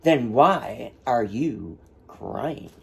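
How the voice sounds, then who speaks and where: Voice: mid-range voice, expressive, extremely slow, creaky, a little mellow, husky, heavily acted. Little monster, voiced by a teacher reading aloud: keen, boastful, springy delivery. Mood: sly. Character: small eager young monster, boastful.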